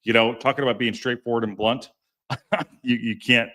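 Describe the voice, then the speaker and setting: A man hosting a podcast, chatting casually and jokingly with live viewers in a deep, strong voice, often stumbling and restarting his sentences. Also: little pitch movement, normal speed, sharp tone. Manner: rambling, self-interrupting, stumbles over words; chatty and jokey. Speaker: podcast host. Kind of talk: casual live-stream chat reading viewer comments.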